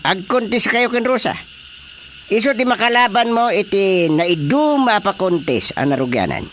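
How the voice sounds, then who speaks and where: A man playing a bossy, sly older village father in an Ilocano radio comedy, with emphatic, drawn-out delivery. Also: high voice, very animated, fairly bright tone, slight background noise, heavily acted. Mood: bossy, challenging. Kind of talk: Filipino radio drama comedy acting.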